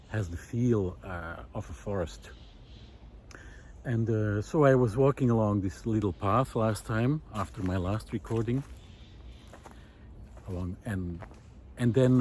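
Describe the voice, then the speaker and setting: A man with a fairly low voice records himself outdoors, with clear ambient noise around him. He speaks off the cuff and reflectively, in short phrases broken by pauses, like a vlogger on a walk. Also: a little mellow. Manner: halting, pausing mid-sentence between short phrases. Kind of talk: unscripted reflective walking talk to camera. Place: outdoors in a wooded park.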